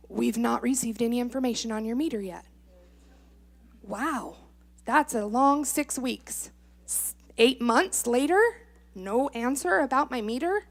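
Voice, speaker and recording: A woman's voice: on the high side, creaky. A middle-aged American woman giving informal testimony as a resident at a public meeting, exasperated and sarcastic, acting out exchanges as she goes.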